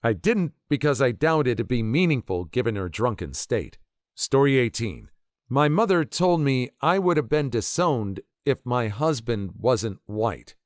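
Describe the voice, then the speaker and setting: A man reading internet stories aloud as a narrator, telling them in a lively, expressive way. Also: mid-range voice, no room sound, studio quality. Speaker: story narrator.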